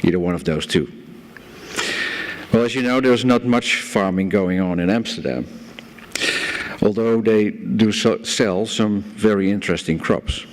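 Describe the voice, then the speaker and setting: A Dutch-accented man in his forties delivers a conference talk, his voice sitting fairly low. He tells stories with gentle, self-mocking humor, and the reverb of a large hall lingers after his words. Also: a little slow, long pauses. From Netherlands. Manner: storytelling, self-deprecating humor. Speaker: guest speaker. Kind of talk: conference presentation. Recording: hall reverb from a lecture room.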